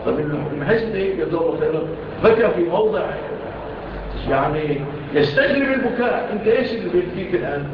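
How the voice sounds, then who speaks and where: A man's voice: sits high, animated, quick pace. A man teaching a religious lesson, mixing formal with colloquial asides and rhetorical questions. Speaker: Islamic teacher explaining hadith. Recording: echoey distant dull recording with noise.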